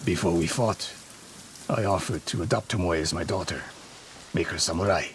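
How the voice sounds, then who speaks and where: An actor playing a stern, regretful old samurai archery master in a dramatic video game. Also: male voice, sits low, moderate pace, a little hiss or hum. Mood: bitter, remorseful.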